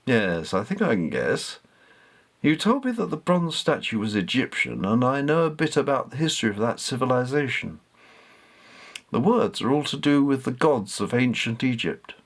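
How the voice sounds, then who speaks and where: A man voicing a learned, modest old man in a storybook, explaining things in a measured way. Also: mid-range voice, big pitch swings, husky. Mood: thoughtful, explanatory.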